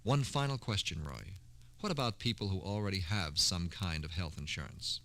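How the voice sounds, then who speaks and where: A man's voice: sits low, lively intonation, moderate pace, fairly bright tone, dry recording. A man hosting a scripted 1960s radio program in a formal, courteous announcer manner. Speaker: radio host.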